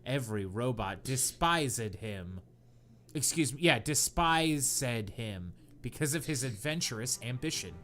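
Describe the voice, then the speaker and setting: A man's voice: medium pitch, animated, unhurried, fairly bright tone. A young man hosting a comedy podcast, reading a bad story aloud with mock earnestness and sarcastic asides. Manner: reads with mock earnestness, breaks into laughter.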